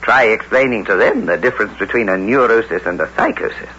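A man's voice: lowish voice, brisk, bright, forceful tone. A man acting a condescending, exasperated husband in an old radio drama, patronising and clipped. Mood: impatient. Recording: dull old radio recording, faint noise.

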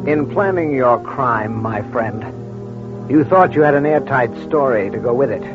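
An actor playing a stern, accusing crime doctor, dramatic and deliberate, in an old radio crime series. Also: male voice, mid-range voice, expressive, lightly acted. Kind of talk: 1940s-50s crime radio acting. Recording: muffled old radio recording with music.

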